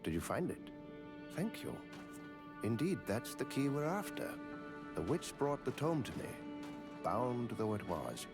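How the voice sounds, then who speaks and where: A scholarly man of a dark fantasy game, guardian of cursed lore. He speaks with formal, old-fashioned courtesy, his pitch rising and falling expressively over clearly audible music. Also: wildly swooping pitch. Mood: grave, intrigued. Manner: formal, measured, archaic phrasing. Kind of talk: scripted fantasy game dialogue.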